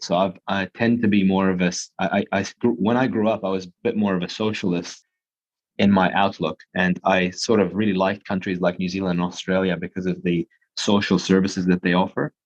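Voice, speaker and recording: A man with a very low voice talks in a measured, explanatory way and often restarts his sentences. The sound is dull and lo-fi. He is a young adult guest on a podcast. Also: little pitch movement. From Dubai. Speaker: guest, surgeon. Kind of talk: podcast interview answers.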